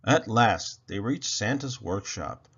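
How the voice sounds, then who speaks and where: Slow, animated man reading a children's story. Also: fairly low pitch, breathy edge, dull audio. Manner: deliberate, dramatic storytelling. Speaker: storybook narrator, channel host. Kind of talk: expressive children's read-aloud.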